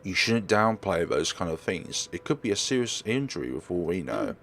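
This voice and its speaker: Man voicing concerned, firm young woman, animated intonation. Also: sits low, moderate pace, gravelly, a little hiss or hum, exaggerated voice. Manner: earnest, insistent, protective. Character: Rose, concerned, firm young female real estate agent.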